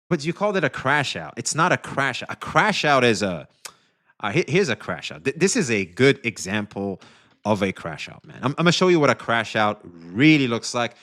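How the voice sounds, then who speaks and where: A male streamer giving animated, profane commentary, speaking straight to his chat. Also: mid-range voice, normal speed.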